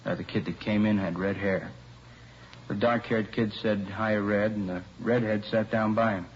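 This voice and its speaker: Low, understated radio police lieutenant played by a man. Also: steady tone, moderate pace, natural voice. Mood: businesslike. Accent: American. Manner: casual, understated, businesslike. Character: plain-spoken, practical male police lieutenant. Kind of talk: 1950s radio drama acting, naturalistic underplayed dialogue. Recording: muffled, faintly noisy old radio recording.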